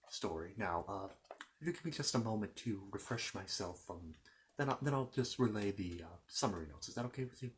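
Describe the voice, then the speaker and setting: A man's voice: on the low side, very noisy, lightly acted. A man playing a fussy, courteous storybook keeper of histories in an ASMR roleplay, hesitant and rambling as he tells his tale. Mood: polite, flustered. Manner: hesitant, rambling, apologetic, repeats himself. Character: fussy, courteous Narnian bookkeeper recounting his world's history.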